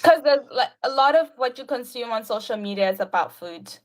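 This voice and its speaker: A woman's voice: a little high, lively intonation, brisk. A young adult woman with a South African accent chatting casually on a video call, rambling along with lots of filler "like". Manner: rambling, frequent "like". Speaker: livestream guest, university student. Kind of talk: casual chat.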